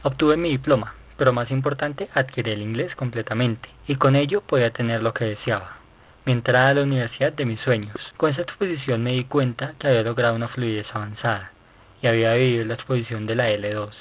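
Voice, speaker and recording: A man reading a first-person account aloud for a student project, brisk and straight through. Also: mid-range voice, fairly even intonation. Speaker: student presenter. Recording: tinny phone-like recording with faint hiss.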